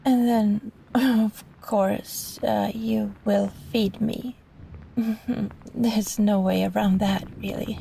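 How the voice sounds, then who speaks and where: A woman voicing a sweet but possessive catgirl vampire in an intimate roleplay monologue, soft and halting, pleading, with small sighs. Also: medium pitch, fairly even intonation, gravelly, a little hiss or hum, low-quality recording. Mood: hushed, hungry, coaxing.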